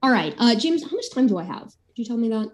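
A woman's voice: a little high, sing-song, fast talker. A young woman with a cold, a PhD student opening her debate presentation over a video call, casual and hesitant. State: has a cold.

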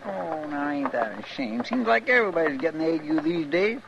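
A good-natured, gullible frontier sidekick in a radio western. His high voice has a folksy American rural drawl. The old recording is dull with faint noise. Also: animated, a little fast, vocal fry. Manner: folksy, hesitant, earnest. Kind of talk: 1950s radio western acting.

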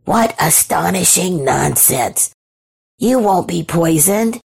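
A woman's voice: on the low side, expressive, gravelly, breathy edge, heavily acted. A woman voicing a sly tempting snake creature in a whispery, coaxing storybook voice. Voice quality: whispery, hushed. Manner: slow, drawn-out, coaxing.

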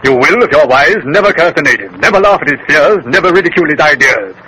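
A faintly hissy 1930s radio recording of a seasoned colonel. The voice is strikingly bright and forceful, with an earnest, cautioning military manner. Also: male voice, a little high, lively intonation, fast, rough recording. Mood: firm, cautionary. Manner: earnest, admonishing, clipped military address. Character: seasoned British colonel in India, cautionary, reminiscing.

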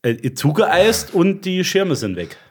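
A man's voice: high voice, big pitch swings, takes it slowly, darkish tone, slight background noise. A man co-hosting a podcast in casual, joking banter with his friends. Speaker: podcast co-host.

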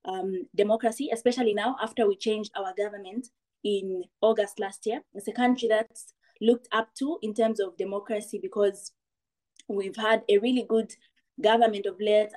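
A woman's voice: mid-range voice, a little mellow, low-quality recording. A young woman from Zambia, a guest on a podcast, talking in long thoughtful explanations. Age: young adult. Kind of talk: casual podcast chat.

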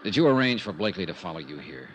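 An actor playing a cool, wry, persistent insurance investigator in a 1950s hardboiled radio drama. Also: male voice, low voice, very animated, racing, highs cut off. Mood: pressing. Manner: wry, probing. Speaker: lead character and narrator. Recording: noisy 1950s radio recording.

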